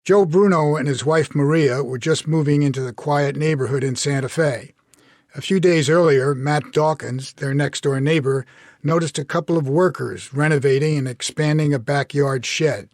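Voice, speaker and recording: Higher-pitched man narrating, dry sound. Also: moderate pace, no room sound. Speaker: author reading own story. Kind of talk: scripted short story narration.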